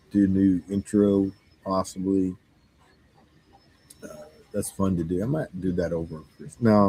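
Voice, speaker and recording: Hoarse deep-voiced man rambling casually. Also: darkish tone, poor audio. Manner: halting, many fillers and restarts. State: hoarse, losing his voice. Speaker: game store owner. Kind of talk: casual monologue.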